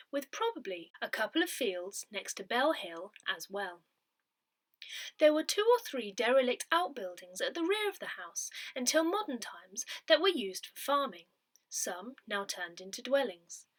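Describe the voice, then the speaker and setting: A woman narrates written articles aloud as a newsletter reader. Her voice sits a little high and has a bright edge. Her intonation moves in a lively, animated way through the scripted text.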